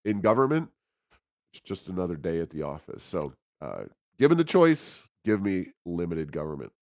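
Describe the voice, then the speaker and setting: A man hosting a policy talk show, giving unscripted commentary in a measured, somewhat halting way. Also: sits low, big pitch swings, a little mellow, no room sound, clean studio sound.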